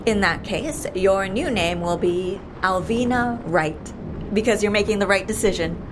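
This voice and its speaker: A woman playing a brisk, persuasive and wry boss of a shady outfit in an audio drama. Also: mid-range voice, noisy background, natural voice. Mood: assured, wry. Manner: persuasive, wry, matter-of-fact. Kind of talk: audio fiction drama acting.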